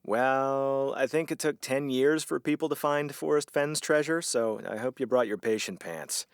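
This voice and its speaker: Guy playing easygoing radio station dude, wry n joking, medium pitch, dry recording. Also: normal speed, clear, resonant tone, no room sound, close to an everyday voice. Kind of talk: naturalistic audio drama dialogue.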